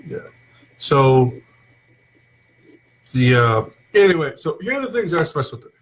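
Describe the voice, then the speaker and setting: A young man hosting a casual wrestling talk show, opening with loud hype and then rambling with fillers. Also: on the high side, sing-song, measured pace, rough recording. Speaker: host. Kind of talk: casual wrestling podcast chat.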